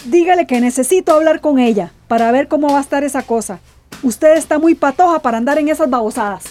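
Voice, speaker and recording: A woman's voice: high voice, quick pace, darkish tone, faint music behind, lightly acted. A woman playing an anxious, devoted mother in a radio drama, speaking emotionally and colloquially with a Central American accent. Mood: stern, wary. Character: anxious devoted mother searching for her missing daughter.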